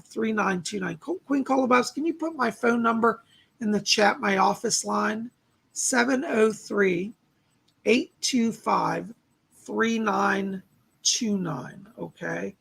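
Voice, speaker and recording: A man with a raspy, tired voice, speaking to viewers as the host of a psychic medium live stream. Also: high voice, a bit bright. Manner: chatty, exclaiming, addresses viewers by name.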